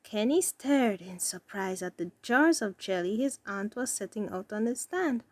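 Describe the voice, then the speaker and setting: A woman reading a children's story aloud as narrator, with deliberate, careful phrasing. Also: mid-range voice, expressive, dry recording. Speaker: story reader.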